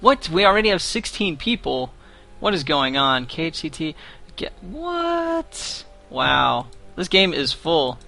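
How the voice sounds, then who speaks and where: A man in his twenties with a fairly high voice, casting a live game tournament in chatty, joking banter. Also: big pitch swings, slow pace, creaky, pushed and bright, faint music behind. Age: 20s. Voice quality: higher voice. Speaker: esports caster.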